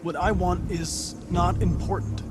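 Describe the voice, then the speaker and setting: An actor playing a composed young male android detective in a game cutscene, measured and polite. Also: sits high, fairly even intonation, loud music behind the voice. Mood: controlled. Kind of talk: video game cutscene acting.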